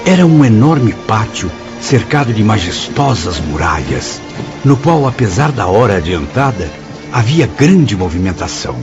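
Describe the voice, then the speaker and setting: A man's voice: lowish voice, very animated, a little slow. A man playing a writer's spirit who recounts his memories formally and eloquently in a radio drama. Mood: reflective, admiring. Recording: dull sound with music behind.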